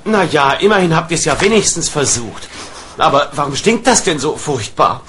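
A cartoon hippie teacher, a little high in pitch and very melodic. He is mild, earnest and encouraging in a touchy-feely way, with faint noise behind him. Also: expressive, normal speed, a bit bright. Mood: mildly disappointed. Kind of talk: animated comedy dub.

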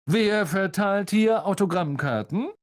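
A reader voicing a vain, pompous male teacher in a storybook, booming and condescending. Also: expressive, measured pace, lightly acted. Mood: jovial, showy.

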